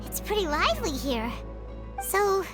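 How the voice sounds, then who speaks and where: A very high, squeaky little fairy companion from a video game. The childlike delivery is animated and naive, bouncing around in pitch, with music clearly playing behind it. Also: female voice, a little slow, full character voice. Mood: cheerful, curious. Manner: speaks of self in third person, expressive. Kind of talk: video game character acting. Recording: background music under the voice.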